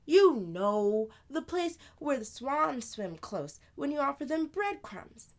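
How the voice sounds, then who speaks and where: A woman voicing a playful, knowing older sister who tells fanciful tales in an animated, confiding way for a children's storybook. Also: high voice, takes it slowly, voice pushed up, heavily acted. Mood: excited, confiding. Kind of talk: children's storybook read-aloud.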